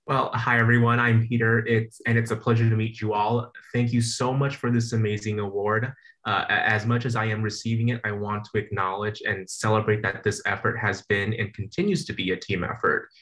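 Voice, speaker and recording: Young man, somewhat low voice, earnest and formal, small restarts; webcam audio from a plain room. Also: flat delivery, fairly quick, a bit bright. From San Francisco Bay Area. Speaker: award recipient. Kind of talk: prepared acceptance speech. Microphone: video call webcam.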